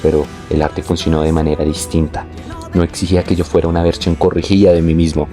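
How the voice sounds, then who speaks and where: Young guy narrating his life story, really low soft-edged voice, reflective, quiet music under it. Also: little pitch movement. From born in Venezuela, moved to Colombia. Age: young adult. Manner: reflective, measured, literary. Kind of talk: scripted autobiographical narration.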